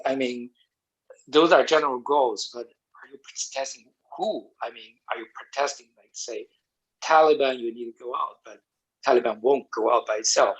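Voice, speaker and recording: A man's voice: mid-range voice, fairly bright tone, poor audio. A man with a Chinese accent, asking questions casually on a call and hesitantly rephrasing them as he goes.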